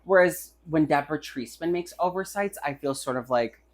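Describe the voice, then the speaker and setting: Low-voiced American woman, irreverent and slangy. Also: normal speed. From United States. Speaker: podcast co-host. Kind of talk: casual chat, book review banter.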